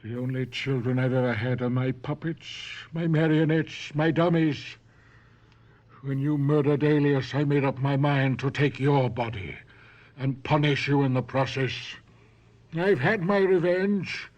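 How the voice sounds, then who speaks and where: An actor playing a vengeful, taunting body-stealing professor living in a ventriloquist's dummy, in a radio drama. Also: male voice, mid-range voice, a little mellow, muffled recording, natural voice. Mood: gloating, cold. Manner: taunting, theatrical, slow and deliberate.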